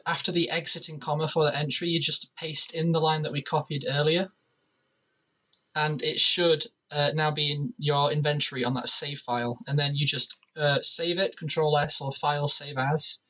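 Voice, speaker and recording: A man's voice: on the high side, sharp tone, rough recording. A man presenting a casual gaming tutorial, explaining things step by step as he works on screen.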